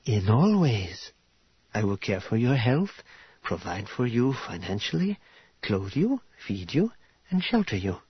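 A man voicing a formal, self-assured wealthy noblewoman for an audiobook, courteous and direct, with measured, old-fashioned phrasing. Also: lowish voice, big pitch swings, breathy edge, dry recording, muffled recording, heavily acted. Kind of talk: audiobook character voice.

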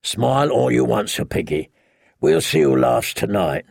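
A man voicing a bitter, spiteful dog handler in a low, sneering growl. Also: big pitch swings, moderate pace, creaky, darkish tone, dry recording, clean studio sound, heavily acted.

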